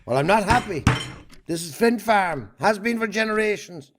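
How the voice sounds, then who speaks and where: A man's voice: high voice, expressive, normal speed, background noise. An Irish man acting a bitter, bullying middle-aged farmer in a stage drama, blunt and combative. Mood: outraged, threatening.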